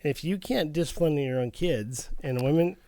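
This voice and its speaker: A man's voice: fairly high pitch, normal speed, dark tone, no echo. A middle-aged man co-hosting a podcast, talking candidly and rambling in casual chat, swearing now and then. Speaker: podcast co-host.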